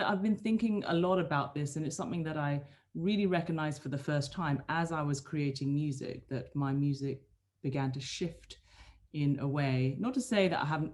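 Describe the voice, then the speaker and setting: A woman's voice: deep voice, darkish tone. A woman musician talking over a video call, earnest and searching, often restarting her sentences. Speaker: musician guest.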